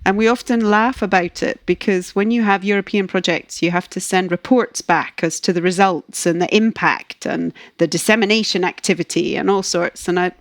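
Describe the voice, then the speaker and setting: A woman's voice: on the low side, fairly even intonation, normal speed, few pauses, dry recording, studio quality. A woman in her fifties from Scotland with a Scottish accent, answering interview questions in a chatty, self-deprecating way. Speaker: guest ecologist.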